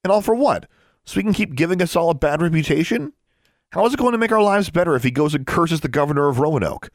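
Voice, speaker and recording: A man's voice: medium pitch, very animated, fast, dry recording, studio quality, pitched above the performer's own voice. A man voicing a defiant, clever thirteen-year-old girl in an audiobook, insistent and indignant. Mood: accusing.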